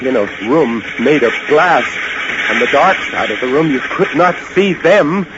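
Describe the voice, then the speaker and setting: A man's voice: fairly high pitch, unhurried, lightly acted. An actor playing a haunted, intense lighthouse keeper, a man narrating an old-time radio horror story in a brooding, dramatic way. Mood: mounting horror. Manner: dramatic, brooding, swinging from hushed to feverish. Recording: muffled, noisy vintage radio recording.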